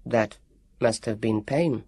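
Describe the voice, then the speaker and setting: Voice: mid-range voice, slow, a little mellow, breathy edge, dry recording, pitched below the performer's own voice. A storybook narrator voicing a proud male android who speaks in a formal, precise and polite way.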